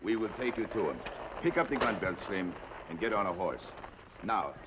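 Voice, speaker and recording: An actor playing an aging, courtly Mexican outlaw leader in a 1950s television western, speaking formally with a Mexican accent. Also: male voice, lowish voice, lively intonation, lightly acted. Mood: courteous menace. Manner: formal, deliberate phrasing with Spanish words. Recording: muffled old TV soundtrack, faint noise.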